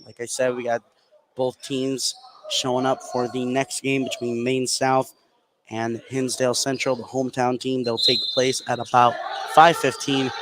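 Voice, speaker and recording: A man doing live play-by-play radio commentary of a high school basketball game, with excitable delivery. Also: moderate pace. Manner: excitable, voice swinging up on big plays. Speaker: play-by-play sports announcer. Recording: clearly noisy live gym broadcast. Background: gymnasium game noise.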